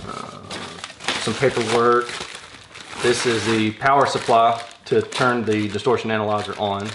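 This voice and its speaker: A man's voice: fairly low pitch, level tone, measured pace. A man in his thirties giving casual, think-aloud unboxing commentary in an informal manner, in a small workshop room. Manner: informal, thinking aloud while handling items. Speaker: product reviewer unboxing audio gear. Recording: clear crinkling and handling noise. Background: packaging crinkles, clicks, rustling.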